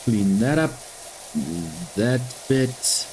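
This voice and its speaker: Slow-talking Afrikaans-speaking man gaming. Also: medium pitch, slight background noise, poor audio. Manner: relaxed, talking to himself while playing. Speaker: gaming YouTuber. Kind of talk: casual gameplay commentary.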